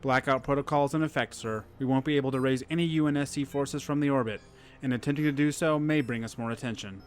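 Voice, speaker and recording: Bridge tech guy man acting, quick formal military talk, audio drama. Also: mid-range voice, fairly even intonation, faint music behind, lightly acted. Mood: dutiful. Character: dutiful male military bridge technician, formal.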